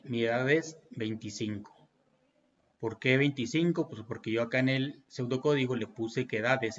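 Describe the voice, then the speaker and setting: A man's voice: medium pitch, a bit bright, muffled recording. A man teaching programming in a tutorial, walking through each step patiently with fillers and self-corrections. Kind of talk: screen-recorded tutorial walkthrough.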